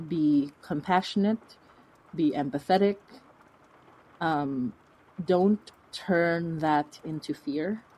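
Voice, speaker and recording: A woman's voice: low-pitched, a little mellow, low-quality recording. A woman speaking as a podcast guest, answering in an earnest, reflective, conversational way. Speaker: guest, fiction podcast creator.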